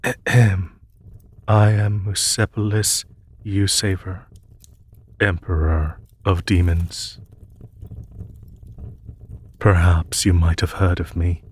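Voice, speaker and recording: A man acts out a solemn demon emperor in a fantasy audio roleplay. His voice is low and husky, and he speaks very slowly. His phrasing is formal and polite, with a gentle, reassuring manner. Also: little pitch movement, exaggerated voice. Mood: formal politeness. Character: courteous, solemn, gentle male demon emperor rescuing an injured human. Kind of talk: scripted audio roleplay addressed to the listener.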